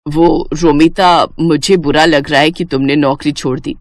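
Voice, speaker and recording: A woman's voice: deep voice, steady tone, unhurried, no room sound, studio quality, pitched below the performer's own voice. Older mother-in-law voiced by a woman narrator, conciliatory and coaxing, proud undertone, family storybook drama. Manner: hesitant, coaxing, repeating herself.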